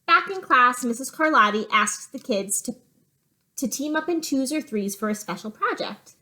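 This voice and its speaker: A woman's voice: on the high side, a bit bright. A woman teacher reading a picture book aloud to children, with simple explanatory asides.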